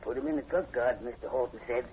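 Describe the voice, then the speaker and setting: A man's voice: mid-range voice, quick pace, darkish tone, lightly acted. A man playing an uneasy, superstitious rural hired man with a rural Southern US accent in a vintage radio drama. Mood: uneasy, ominous. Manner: folksy, uneasy, rustic speech. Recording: muffled, hissy vintage radio recording.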